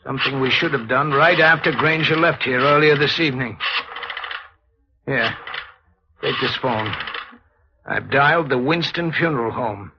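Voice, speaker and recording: An actor playing a scheming, impatient husband in a 1940s radio mystery, speaking in an insistent, bossy way. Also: male voice, mid-range voice, moderate pace, long pauses, noisy background, lightly acted. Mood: tense, scheming. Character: scheming, impatient husband faking his death for insurance money. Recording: muffled vintage 1940s radio recording.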